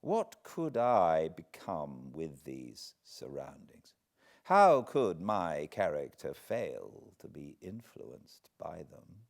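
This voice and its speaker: A man, reading a classic novel aloud in measured, expressive storytelling. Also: extremely low pitch, creaky. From Britain. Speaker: audiobook narrator. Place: cozy home spot beside a fireplace.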